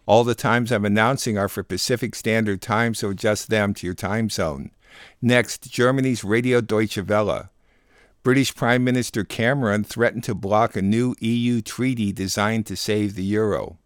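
A man's voice: fairly low pitch, fairly even intonation, moderate pace, no echo, studio quality. An American man hosting a radio programme, reading out scripted announcements. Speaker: radio show host.

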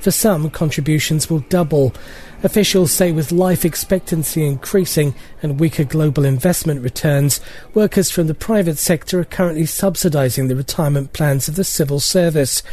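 A man's voice: a little high, moderate pace, warm tone. A man delivering a scripted radio news report as a correspondent.

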